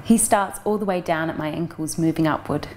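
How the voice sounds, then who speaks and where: A woman's voice: low voice, a little fast, a little mellow, a little hiss or hum. A young adult woman giving a frank, matter-of-fact first-person reading of a novel. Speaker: audiobook reader.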